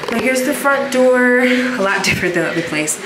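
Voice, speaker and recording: A woman's voice: a little high, lively intonation, a little fast, noisy background. A woman in her twenties talking casually to a handheld camera while she travels, rambling and thinking aloud. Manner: chatty, rambling, thinks aloud with likes and ums. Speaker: travel vlogger. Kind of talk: casual solo travel vlog. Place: hotel room and outdoor restaurant. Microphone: handheld camera at arm's length.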